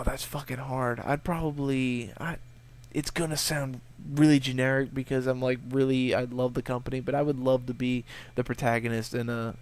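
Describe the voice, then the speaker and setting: A young man answers viewer questions in a loose, rambling, laid-back manner, swearing often and restarting his sentences. His voice sits at an ordinary middle pitch and sounds a bit sniffly, as if he has a cold and a sore throat. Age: young adult. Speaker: video game walkthrough channel host.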